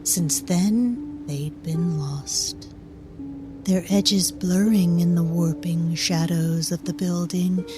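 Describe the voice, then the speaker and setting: A woman performing as a solemn storyteller, giving measured, grave scripted narration for a dark fairy-tale drama. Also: lowish voice, fairly even intonation, over music. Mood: grave, hushed.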